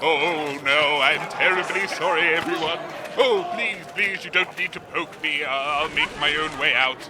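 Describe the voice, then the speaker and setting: A man's voice: a little high, measured pace, very noisy, close to an everyday voice. A man voicing a huge, lonely, blobby mutant monster, meek, polite and pleading, in a comedy audio drama. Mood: sorry, dejected. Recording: music loud behind the voice.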